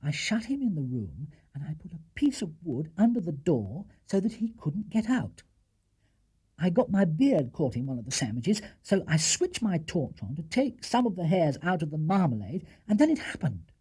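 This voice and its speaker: Man voicing an earnest, lively young bear. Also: on the high side, moderate pace, slightly rough, full character voice. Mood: patient explaining. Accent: British. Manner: earnest, matter-of-fact explaining. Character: earnest young male bear playing detective.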